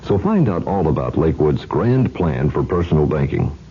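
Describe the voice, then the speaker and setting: A man with a gravelly, raspy voice reading a scripted radio advertisement as an announcer. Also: rumbling low, big pitch swings, moderate pace. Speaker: bank commercial announcer. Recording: muffled 1970s radio recording.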